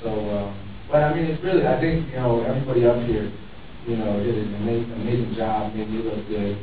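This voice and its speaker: A man's voice: lowish voice, fairly even intonation, brisk, narrow, tinny audio. A man on a festival panel giving rambling thanks, full of fillers and trailing off. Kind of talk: panel Q&A answer. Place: large hall with an audience. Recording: far-off echoey hall, loud crowd and noise.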